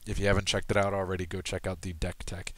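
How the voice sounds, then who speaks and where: Low, flat-voiced man explaining a card game. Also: quick pace. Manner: steady explanatory, frequent ums and self-corrections. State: losing his voice. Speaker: gameplay commentator. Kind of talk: casual game commentary over screen recording.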